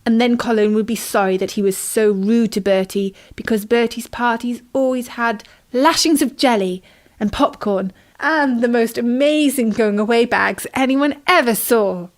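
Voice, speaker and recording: A British woman with a fairly high voice tells a children's story. Her reading is expressive, in the style of a bedtime audiobook. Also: normal speed.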